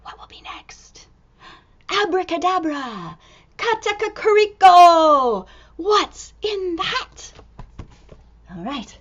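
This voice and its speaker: A woman reading a picture book aloud to young children in an expressive, exclaiming way, drawing out words and dropping into hushed asides. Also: extremely high pitch, slow, pauses often, highs cut off.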